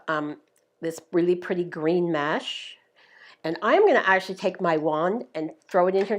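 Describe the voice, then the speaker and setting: Chatty woman demonstrating crafts. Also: fairly low pitch. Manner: chatty, upbeat step-by-step explaining. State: slightly losing her voice. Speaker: craft demonstrator, show host. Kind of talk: live-streamed craft tutorial.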